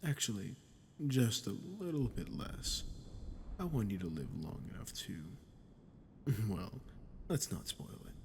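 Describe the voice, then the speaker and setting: A low-voiced, gravelly demon as a man acts him out looms over a human in an ASMR roleplay. He is smug, dominant and deliberate, taunting with cold condescension. A rumbling noise sits behind the voice. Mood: smug, teasing. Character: sadistic archdemon, smug, menacing, dominant toward a human. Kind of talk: ASMR roleplay audio acting.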